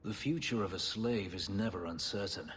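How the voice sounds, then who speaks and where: An actor playing a bitter but loyal elven former slave in a video game, speaking gravely and guardedly. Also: male voice, low-pitched, moderate pace, fairly bright tone, slight background noise. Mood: earnest, resolute. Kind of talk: dramatic video game acting. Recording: long hall reverb, faint music behind.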